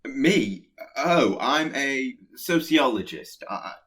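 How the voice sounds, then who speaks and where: A man's voice: medium pitch, expressive, slow pace, a bit bright, husky, full character voice. An actor in a radio play playing a nervous, awkward Pestilence who hesitates and stammers as he secretly admires catastrophe. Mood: nervous, evasive.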